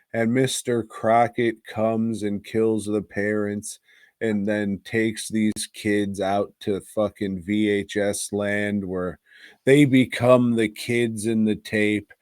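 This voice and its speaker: A low-voiced man chats through a film review as a podcast host. He takes his time, rambling in loose slang and cursing freely, recorded close and dry. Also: a little mellow, no room sound. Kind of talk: casual, crude film review chat.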